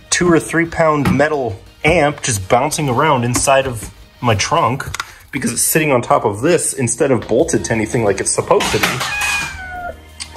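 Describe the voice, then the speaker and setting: A man's voice: medium pitch, a bit bright. A young man talks through a do-it-yourself job in a casual vlog style, with a mid-range voice. He thinks aloud informally and sounds mildly exasperated, with some music in the recording. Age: young adult. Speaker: car DIY vlogger.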